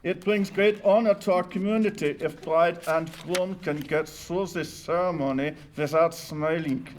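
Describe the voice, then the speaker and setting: Actor as solemn wedding minister, stern, formal, deadpan, high-pitched for a man, slight room noise. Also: a little slow, natural voice. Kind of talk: sitcom table read.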